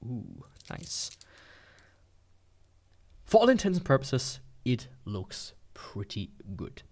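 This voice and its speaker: A man's voice: mid-range voice, wildly swooping pitch, slow pace, darkish tone. A man with a mid-range voice talks through a product unboxing in an informal way. His delivery is sarcastic, with playful overstatement as he comments like a gadget reviewer.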